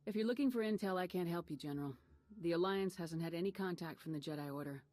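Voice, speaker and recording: A confident female commander from a video game, voiced by a woman. Her voice is very low. She is cool and businesslike, keeping her delivery terse. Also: quick pace, darkish tone, no room sound, lightly acted.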